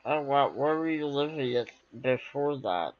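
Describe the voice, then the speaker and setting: A man's voice: medium pitch, animated, unhurried. A man interviewing a guest, asking hesitant questions full of fillers. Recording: slightly dull lo-fi mic.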